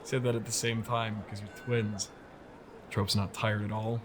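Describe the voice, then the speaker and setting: A man's voice: on the low side, level tone, measured pace, a little hiss or hum. A man with an American accent speaking casually and dryly in scripted comedic dialogue, as a company founder. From United States. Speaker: audio drama company founder.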